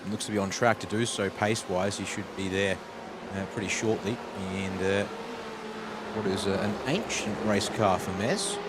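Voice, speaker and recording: A low-voiced Australian man adds loose, filler-heavy analysis to a live sim race. Loud engine roar from the game runs underneath him. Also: fairly even intonation, moderate pace. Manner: chatty analysis with many fillers. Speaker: co-commentator. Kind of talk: live sim racing commentary. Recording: loud game car noise behind.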